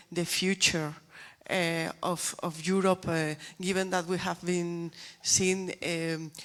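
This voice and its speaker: Lower-voiced woman with a Spanish accent. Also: a bit bright. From Spain. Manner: hesitant, pausing between short phrases. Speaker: newspaper journalist. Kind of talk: asking a question at a press event.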